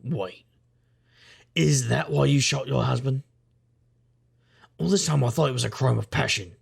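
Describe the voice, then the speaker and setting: Man playing a husky, gravelly noir detective. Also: medium pitch, measured pace, full character voice. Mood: dry, knowing. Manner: dry, wry, deadpan. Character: sardonic world-weary male hard-boiled private investigator. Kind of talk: noir audio drama, first-person narration and dialogue.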